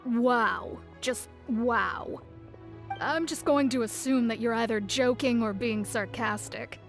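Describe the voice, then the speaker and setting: A woman's voice: a little high, slow, soft background music. A woman voicing a breezy, no-nonsense adventurer guild master in a video game, wry and casual. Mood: wry, dismissive.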